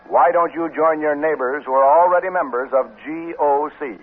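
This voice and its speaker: A man's voice: fairly high pitch, normal speed. A man reading scripted promotional announcements in the upbeat, polished style of a 1950s radio announcer. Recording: muffled, narrow-band 1950s radio recording.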